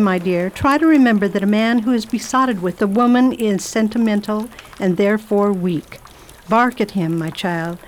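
A woman's voice: medium pitch, lightly acted. Woman acting a commanding, formal lady traveller, noisy recording. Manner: imperious, deliberate, formal. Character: Miss Gregory, self-assured, commanding, kindly lady traveller. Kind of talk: radio theatre acting and diary narration.

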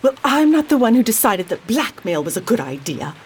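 A woman's voice: on the high side, big pitch swings, fairly quick, slight background noise. An actress playing a distraught, pleading young mother who stammers emotionally, in a period detective radio drama. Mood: quarrelling.

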